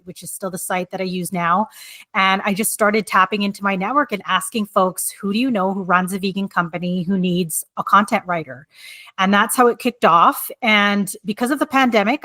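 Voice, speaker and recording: Bright, chatty woman guest on a podcast. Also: mid-range voice, dry recording. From Canada. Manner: chatty, laughs often, many fillers. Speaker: guest, book coach and writer. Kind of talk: interview answers.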